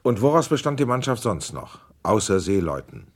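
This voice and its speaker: A man's voice: on the low side, very animated, normal speed, breathy edge. An actor playing a persistent male senator who formally questions witnesses in a German documentary radio drama. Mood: probing, formal. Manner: probing, insistent, formal. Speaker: investigating questioner.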